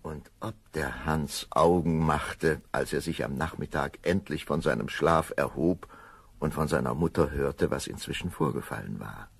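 Deep gravelly male fairy-tale narrator. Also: moderate pace, soft background music. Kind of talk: fairy-tale narration for a children's audio play.

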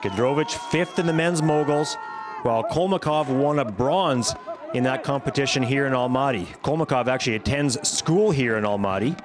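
A man giving scripted highlights commentary on a sports competition as the commentator. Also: moderate pace, background noise.

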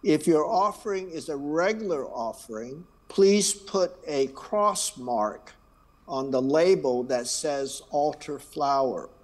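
A man speaks slowly from the front of a church sanctuary, reading announcements and leading the call to worship. His voice sits a little high for a man, and his manner is unhurried and friendly, with small asides. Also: expressive, darkish tone. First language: Chinese.

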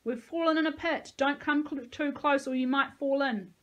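A woman's voice: on the high side, steady tone, moderate pace, lo-fi sound, lightly acted. A woman voicing an anguished, earnest boy who leads a group of children, urgent and pleading, in an audiobook. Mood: shouting, commanding. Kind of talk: audiobook character dialogue.

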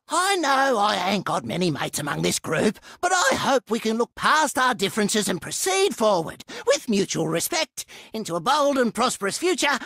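Manic Australian demolitions nut, high-pitched, cackling, theatrical, unhurried drawl, video game. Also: male voice, creaky, pushed and bright, dry recording, full character voice. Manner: giddy, scatterbrained, theatrical. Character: manic, cackling young male junkyard demolitions maniac. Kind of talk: video game character voice lines. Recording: dry studio recording.

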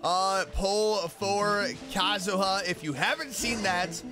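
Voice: medium pitch, slow pace. A gaming streamer giving casual, playful, reactive commentary and reading dialogue over a game with music behind.

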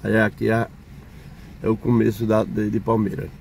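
A man's voice: on the low side, completely flat delivery, moderate pace, creaky, a little mellow, a little hiss or hum. An older man, chatting casually to viewers while he drives and walks around a market. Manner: chatty, points things out to viewers. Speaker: vlogger. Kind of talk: casual vlog narration. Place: in a car and at an outdoor street market.

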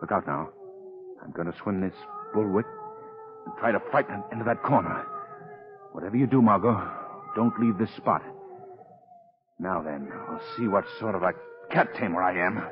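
Actor as deep-voiced, commanding 1930s radio sleuth. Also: male voice, expressive, normal speed, pauses often, noisy background, close to an everyday voice. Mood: tense, urgent. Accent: American. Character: bold, self-assured amateur sleuth, protective gentleman. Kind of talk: 1930s American radio drama acting. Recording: muffled lo-fi 1930s radio recording.

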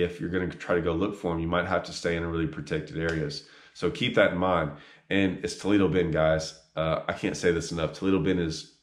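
A deep-voiced man in his thirties with a drawl talks earnestly to a camera, repeating himself and restarting sentences with lots of ums. The sound is roomy and echoey, picked up by a camera mic a few feet off. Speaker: fishing guide giving a tournament report. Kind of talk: casual spoken fishing advice to camera. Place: small room indoors. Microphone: camera on a tripod a few feet away. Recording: echoey room.

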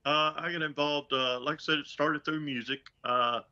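A man's voice: on the high side, fairly even intonation, moderate pace, pushed and bright. An older man speaking as an interview guest over a video call from home, easygoing and folksy with chuckles. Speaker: guest, concert road crew member. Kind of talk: casual interview chat. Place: home room. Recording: dull video call audio.

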